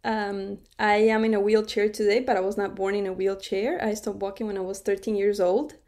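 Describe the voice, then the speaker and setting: A woman's voice: medium pitch, flat delivery, brisk, darkish tone. A middle-aged woman from Cuba, a podcast guest telling her story in a conversational interview, laughing often and restarting her sentences.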